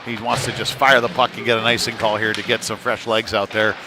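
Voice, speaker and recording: A man's voice: fairly low pitch, level tone, a little fast, music in the background. A man doing live ice hockey play-by-play commentary in a conversational, informal manner. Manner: conversational, informal, tag questions. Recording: clearly noisy venue ambience.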